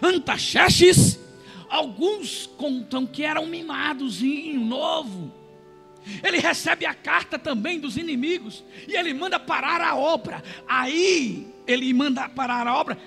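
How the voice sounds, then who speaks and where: A man preaching a sermon, retelling scripture and shouting fervently. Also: very high voice, expressive, a little slow, gravelly, faint music behind.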